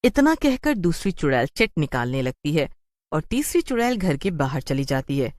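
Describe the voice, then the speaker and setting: Woman narrator, lowish voice, scripted spooky cartoon story, dry recording. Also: sing-song, normal speed, a little mellow, no room sound.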